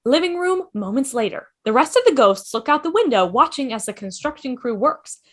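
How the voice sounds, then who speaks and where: A woman's voice: sits high, expressive, fairly quick. A woman reading scripted stage directions as the narrator of a table read over a video call.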